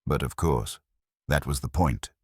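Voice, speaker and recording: A man voicing a theatrical, self-important society gentleman in an audiobook, with a light, polished, affected manner. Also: a bass voice, a little slow, breathy edge, no echo, studio quality, heavily acted. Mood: suave.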